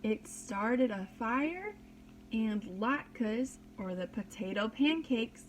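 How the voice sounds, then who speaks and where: A woman teacher reading a storybook aloud to young children, deliberate and expressive, pausing to explain. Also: on the high side, a little hiss or hum, poor audio. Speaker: pre-kindergarten teacher.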